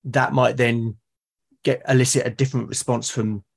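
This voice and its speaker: Man, thoughtful and halting. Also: mid-range voice, flat delivery, vocal fry. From Britain. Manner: halting, repeats words as he thinks aloud. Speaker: podcast guest, solo roleplaying podcast creator. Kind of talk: conversational interview, explaining own creative process.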